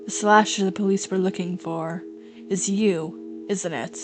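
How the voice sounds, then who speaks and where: A woman's voice: mid-range voice, steady tone, a little slow, music in the background, voice pushed down, natural voice. Tense, accusing young lady played by a woman. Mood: quietly accusing. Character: suspicious, frightened young lady confronting a killer.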